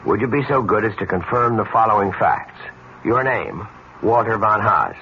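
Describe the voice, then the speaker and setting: A man's voice: on the low side, expressive, moderate pace. An actor playing a mild, puzzled, hesitant married man in a radio mystery drama. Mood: puzzled, wry. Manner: hesitant, stammering. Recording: dull, hissy vintage radio recording.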